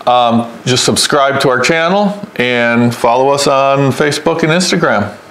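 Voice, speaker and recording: An American man in his fifties with a mid-pitched voice talks casually to camera. He is plain-spoken and a little halting, circling back over his words as he gives his opinion. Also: fairly bright tone, a little hiss or hum, rough recording. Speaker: overlanding channel co-host. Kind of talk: casual unscripted chat to camera.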